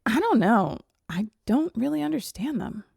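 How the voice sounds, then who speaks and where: A woman's voice: fairly high pitch, big pitch swings, normal speed, creaky, a little mellow, husky, dry recording, studio quality, heavily acted. A blunt, curious computer girl.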